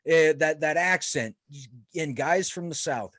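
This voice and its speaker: A man's voice: on the high side, unhurried, fairly bright tone, no echo, clean studio sound. A man hosting a casual interview show, upbeat and chatty as he asks questions. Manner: upbeat, chatty, laughs a lot. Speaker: podcast host.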